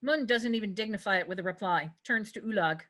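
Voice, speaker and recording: A woman's voice: mid-range voice, fast talker, a bit bright. A woman reading scripted narration and stage directions for a fantasy table read.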